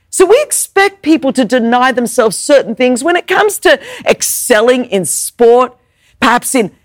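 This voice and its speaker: Woman preacher, Greek first language, high lively voice, dry close mic, emphatic sermon style. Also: no room sound. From Greek Orthodox family background. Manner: emphatic, repeats words, rhetorical questions.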